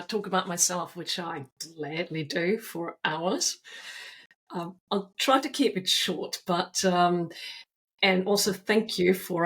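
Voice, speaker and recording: A woman's voice: fairly low pitch, a bit bright. A middle-aged woman from Austria with a mixed Austrian, Danish and New Zealand accent, a podcast guest chatting in a self-deprecating way with many fillers. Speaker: guest, agile coach and author. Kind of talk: casual podcast conversation.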